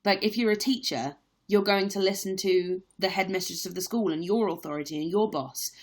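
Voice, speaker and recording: A woman's voice: medium pitch, brisk. A young woman who acts on stage, chatting candidly in a live-stream Q&A with lots of fillers. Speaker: stage actress livestreaming a Q&A. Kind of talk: casual live-stream chat.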